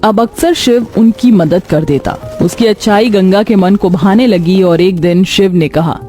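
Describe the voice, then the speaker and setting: A woman's voice: mid-range voice, measured pace, dark tone, faint music behind. A woman narrating a scripted cartoon story.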